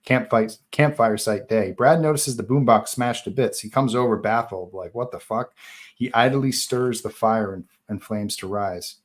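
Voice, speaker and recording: Low-voiced man narrating a horror script. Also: normal speed. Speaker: narrator reading stage directions. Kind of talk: scripted narration at live table read.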